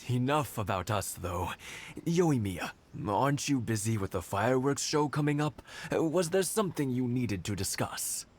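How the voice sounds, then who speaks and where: An actor playing a kindly elderly husband in a video game, reminiscing softly with small chuckles. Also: male voice, medium pitch, expressive, a little slow, a little hiss or hum, natural voice. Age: older. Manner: warm reminiscing storyteller, soft chuckles. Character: kindly elderly village husband, gentle and nostalgic. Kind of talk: game voice acting. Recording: studio game dialogue with loud music.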